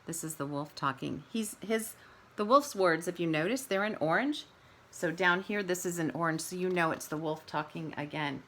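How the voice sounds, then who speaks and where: Bright-voiced woman teacher reading to young children. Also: fairly low pitch, moderate pace. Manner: questioning, explanatory, addressing young children. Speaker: kindergarten teacher reading aloud. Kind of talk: children's read-aloud with explanations.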